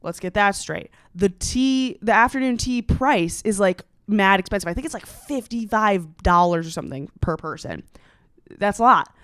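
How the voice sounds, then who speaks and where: Clear-voiced American comedian telling gossip. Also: female voice, medium pitch, moderate pace, dry recording, studio quality. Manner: animated storytelling, slangy, sarcastic, dramatic emphasis. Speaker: comedian, podcast host. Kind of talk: casual comedic podcast monologue.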